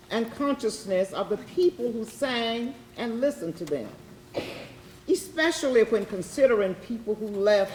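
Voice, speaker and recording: A woman reading scripted educational narration in a measured, deliberate way. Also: medium pitch, a little mellow. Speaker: readers theatre narrator. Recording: echoey room with faint noise.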